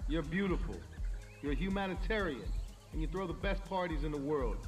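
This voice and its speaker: A man's voice: fairly high pitch, lively intonation, fairly quick, soft background music, close to an everyday voice. An actor playing a fawning, sentimental young man giving a gushing toast in a video game. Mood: gushing, solemn. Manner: speechifying, gushing. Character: fawning, sentimental young male party host. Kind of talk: public toast.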